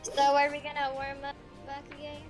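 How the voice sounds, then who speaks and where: A woman's voice: sits high, completely flat delivery, a little fast. A woman chatting playfully on a group live stream, tossing in questions and reading out viewer comments. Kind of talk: casual live stream chat. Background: background music.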